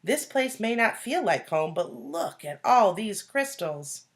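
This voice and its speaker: A woman's voice: medium pitch, sing-song, takes it slowly, pitched above the performer's own voice. A woman voicing a small, eager, greedy ant from a children's storybook, with a coaxing manner.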